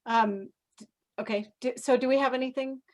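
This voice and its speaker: A woman's voice: high voice, fairly even intonation, moderate pace, a little mellow. A woman hosting a casual, conversational interview over a video call. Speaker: interviewer, conference host.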